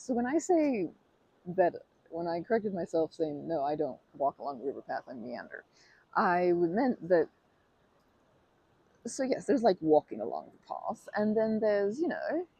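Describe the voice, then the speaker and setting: A woman's voice: fairly low pitch, a little mellow, husky, no echo, exaggerated voice, poor audio. A woman playing a rambling, awkwardly formal stranger. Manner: rambling, formal phrasing, many fillers and self-corrections. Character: polite, awkwardly formal female stranger wanting to befriend. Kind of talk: ASMR roleplay.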